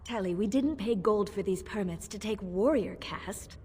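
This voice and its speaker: A woman's voice: mid-range voice, moderate pace, darkish tone, husky, lightly acted. An actress playing a choosy female dwarf social climber in a video game, snooty and conspiratorial, in noisy reverberant sound. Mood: scheming.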